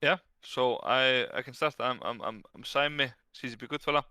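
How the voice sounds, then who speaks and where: Bright, crisp-voiced man from Iceland. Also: medium pitch, normal speed, no room sound, clean studio sound. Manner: easygoing, with frequent restarts. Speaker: guest, brand director. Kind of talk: casual interview answers.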